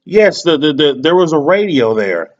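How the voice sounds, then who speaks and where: A man's voice: on the high side, big pitch swings, moderate pace. A man making a customer complaint by phone, insistent but polite, often stammering and repeating his words. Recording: muffled lo-fi recorded phone call.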